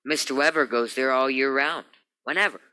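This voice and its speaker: An actor playing a formal, guarded lawyer in an old-time radio crime drama, with a fussy, dismissive manner. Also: male voice, mid-range voice, normal speed, pushed and bright, close to an everyday voice. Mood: evasive, haughty. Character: stiff, proper male attorney, guarded and formal.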